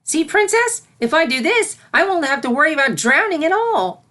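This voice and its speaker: A woman voicing an excitable young unicorn filly with an eager, childlike manner in a story reading. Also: high-pitched, moderate pace, pitched above the performer's own voice, exaggerated voice. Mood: confident, pleased.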